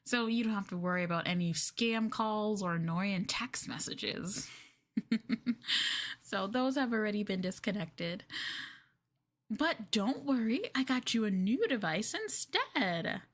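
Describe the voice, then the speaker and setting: A woman's voice: mid-range voice, animated, measured pace, close to an everyday voice. A woman playing an obsessive, possessive girlfriend in an ASMR roleplay, sweetly menacing and coaxing the listener in a cooing manner. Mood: sweetly controlling. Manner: cooing, coaxing, breaking into giggles and whispers.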